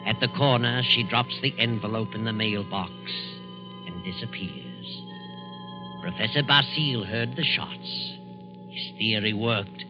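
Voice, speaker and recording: An actor as the grave narrator of a 1940s radio thriller, reading scripted narration in a dramatic, ominous way. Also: male voice, lowish voice, measured pace, natural voice. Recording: dull lo-fi 1940s radio broadcast. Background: loud dramatic music.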